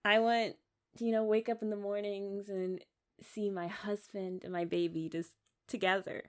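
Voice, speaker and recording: A young woman playing a loving, sentimental young wife in a romantic ASMR roleplay, talking tenderly and haltingly as if to her partner. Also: mid-range voice, flat delivery, smooth, natural voice. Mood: earnest, nervous. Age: young adult.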